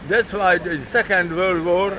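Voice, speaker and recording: A man's voice: sits high, fairly even intonation, a little slow, pushed and bright, poor audio. Older guy, broken english, keeps repeating himself, traffic noise all around. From Hungary. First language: German. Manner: broken English, repetitive, emphatic. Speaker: interviewee. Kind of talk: casual recorded conversation.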